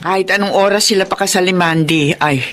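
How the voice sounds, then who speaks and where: A woman's voice: lowish voice, brisk, fairly bright tone, close to an everyday voice. An actress playing a protective, forgiving middle-aged mother in a Filipino radio drama. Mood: fond. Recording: faint noise behind the voice.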